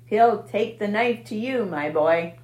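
A woman voicing a gluttonous, sneering male rat with a husky, snarling voice, recorded in an echoey room. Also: mid-range voice, a little mellow, natural voice. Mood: taunting. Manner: drawn-out, gloating, snarling.

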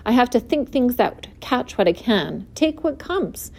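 A thin, pleasant storybook spider, clever and bold, as a woman reading aloud puts her on. She speaks briskly and with assurance, her pitch set high and swinging widely. Her tone stays mellow rather than sharp. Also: big pitch swings, creaky, heavily acted. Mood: assured. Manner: brisk and self-assured, lecturing. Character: clever, bold female spider, matter-of-fact.

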